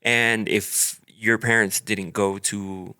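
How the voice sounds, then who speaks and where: Low bright-toned man hosting casually. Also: flat delivery, takes it slowly, clean tone. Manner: rambling, filler-heavy, friendly. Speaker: podcast host. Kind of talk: casual interview chat.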